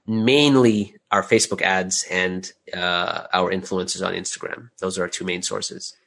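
A man's voice: low-pitched, steady tone, a little slow, vocal fry, a bit bright. A man answering interview questions casually as a business owner, thinking aloud and repeating phrases as he explains. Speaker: interview guest, audio drama creator and business owner.

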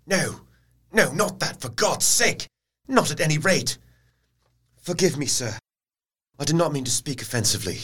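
An actor playing a bereaved young English nobleman who speaks formally and emotionally, in a halting, courteous way. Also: fairly high pitch, sing-song, a bit bright, slightly rough, full character voice. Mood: pained. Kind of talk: period audio drama acting.

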